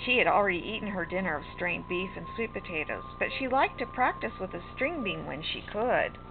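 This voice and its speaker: An older woman, a grandmother, reading a storybook aloud to children in an expressive, sing-song manner. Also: lowish voice, creaky. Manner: slow, animated, sing-song. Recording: muffled lo-fi home recording, music behind.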